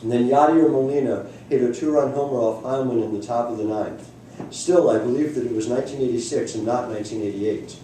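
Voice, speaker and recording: A man reads an essay aloud to an audience, his voice mellow and warm-toned. It comes through very echoey, picked up from some distance, with faint noise. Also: medium pitch, fairly quick. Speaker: reader at a library book reading. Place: indoor room at a public library event.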